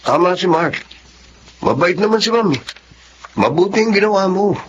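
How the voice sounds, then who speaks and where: A supportive filipino work pal, slightly high voice, radio drama, muffled. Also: male voice, lively intonation, normal speed, a bit bright. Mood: reassuring. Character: kindly, supportive male office friend. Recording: muffled audio with faint background noise.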